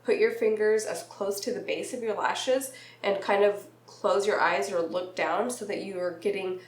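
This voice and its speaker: A young woman vlogging casually about beauty, chatty and laughing mid-sentence, her voice a little nasal and raspy from a recent illness. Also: mid-range voice, fairly even intonation, normal speed, roomy sound. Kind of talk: casual talk to camera.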